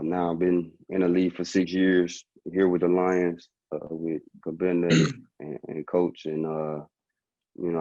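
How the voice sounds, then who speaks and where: A man in his twenties from Alabama, a pro football player, speaking in a quiet, halting way with many fillers. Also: sits low, no room sound, muffled recording.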